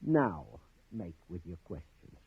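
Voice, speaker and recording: An actor playing a blunt, slangy, streetwise sidekick in a 1950s radio drama. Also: male voice, sits low, very animated, slow pace, breathy edge, no room sound, exaggerated voice. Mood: impatient. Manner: slangy, blunt tough-guy delivery. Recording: narrow-band 1950s radio recording.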